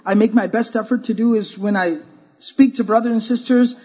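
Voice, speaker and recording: A man preaching to a conference crowd, casual and joking one moment, earnest the next. His voice sits fairly high and sounds a bit husky. The recording is muffled and lo-fi. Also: steady tone, brisk, warm tone. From Texas. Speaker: speaker at a religious conference. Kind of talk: sermon to a youth-focused audience.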